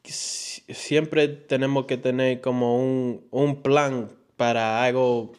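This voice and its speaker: Slow-talking young man, hesitant. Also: medium pitch, fairly even intonation, a little mellow, clear, resonant tone. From New York. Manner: hesitant, searches for words, slips into English. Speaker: co-host answering viewer questions. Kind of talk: casual chat.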